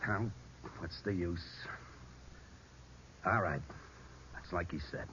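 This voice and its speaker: An actor playing a cocky, evasive, hot-tempered robbery suspect in a 1940s radio crime drama, with slangy, defensive street-tough talk. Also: male voice, deep voice, takes it slowly, pushed and bright, full character voice. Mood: defeated. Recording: dull, noisy old radio recording.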